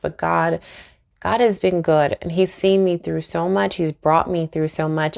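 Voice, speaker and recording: A woman's voice: on the low side, creaky. A woman vlogging to camera about her faith, speaking earnestly and encouragingly, with frequent small laughs. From Trinidad and Tobago. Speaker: YouTuber and author. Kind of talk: faith-based vlog talk to camera.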